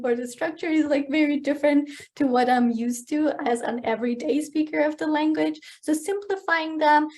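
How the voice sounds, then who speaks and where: A woman whose first language is Persian, giving a keynote answer on a video call in a lively, explanatory way. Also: a little high. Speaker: keynote speaker. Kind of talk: conference talk answering questions.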